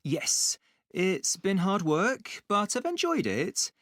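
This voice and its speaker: A man's voice: sits high, wildly swooping pitch, slow pace, fairly bright tone, dry recording, studio quality, heavily acted. A man playing a keen young food science student in a scripted discussion.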